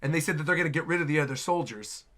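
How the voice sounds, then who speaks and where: Bright, high-voiced man reacting casually. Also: very fast. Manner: rambling, thinking aloud. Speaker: reaction streamer, host. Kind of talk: casual live reaction commentary.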